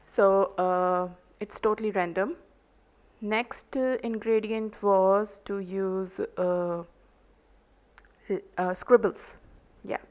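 A woman with an Indian English accent, a native Hindi speaker, narrating an art journaling tutorial in a halting way, full of ums and uhs. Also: medium pitch, steady tone. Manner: slow, hesitant, many ums and uhs. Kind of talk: crafting tutorial voice-over.

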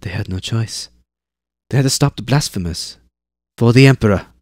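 A man's voice: fairly low pitch, normal speed, no echo, studio quality, pitched above the performer's own voice, natural voice. A man voicing an eleven-year-old girl laborer, plucky and matter-of-fact. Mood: eager. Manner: bright, eager, talkative.

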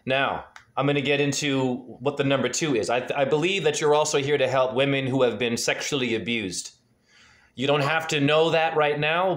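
A man in his forties talks in a mid-pitched voice over a webcam call from a dim room at home. He gives a freewheeling, earnest reading and keeps asking probing questions. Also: fairly bright tone. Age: 40s. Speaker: spiritual numerology reader. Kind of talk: casual video-call name reading. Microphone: webcam on a video call.

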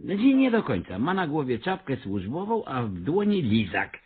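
Pompous theatre director, swooping lively pitch. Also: male voice, normal speed, husky, phone-quality sound. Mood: self-important reading. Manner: grandiose, coaxing, theatrical. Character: pompous, fast-talking avant-garde theatre director, scheming and persuasive. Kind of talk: Polish radio comedy acting.

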